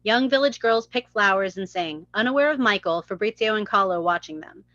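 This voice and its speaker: A woman narrates stage directions from a script at a table read. Her voice is forward and bright at an average female pitch, recorded dry with a slightly lo-fi sound. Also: expressive, brisk, no echo.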